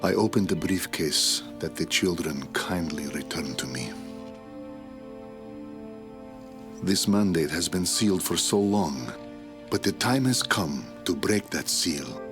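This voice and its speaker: An actor playing a weary, reflective soldier of an invading army, narrating in a measured, solemn way. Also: male voice, low voice, lively intonation, music in the background. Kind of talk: scripted dramatic narration.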